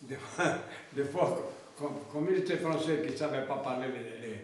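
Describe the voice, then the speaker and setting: A man's voice: medium pitch, a little mellow. Distant, echoey older male singer. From Oran, Algeria. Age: 70s. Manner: halting, repeats words, slow reflective delivery. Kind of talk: recalling memories in an interview. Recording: echoey room, distant mic, faint noise.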